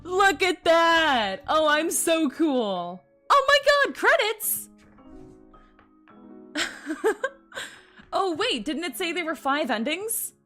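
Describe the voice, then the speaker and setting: A woman streamer reading a visual novel aloud and commenting on it, excitable and gushing, often giggling. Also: very high voice, drawn-out words, pauses often, soft background music. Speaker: VTuber streamer.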